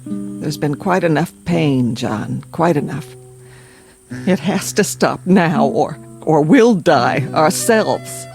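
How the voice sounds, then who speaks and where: A woman acting a grieving, weary mother in a radio drama, halting and sorrowful but resolute. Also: deep voice, animated, creaky, darkish tone, faint music behind, exaggerated voice.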